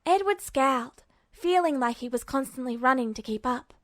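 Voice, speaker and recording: A woman's voice: high voice, expressive, normal speed, fairly bright tone, no echo, clean studio sound. A woman reading scripted fiction aloud as an audiobook narrator. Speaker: fan fiction audiobook reader.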